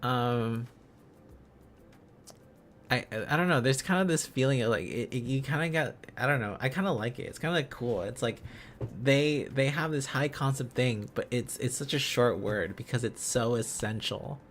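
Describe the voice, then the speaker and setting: A man chatting casually as a livestream host, musing aloud in halting, repeated phrases. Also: mid-range voice, a bit bright, slight background noise. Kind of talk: casual livestream chat while learning a language.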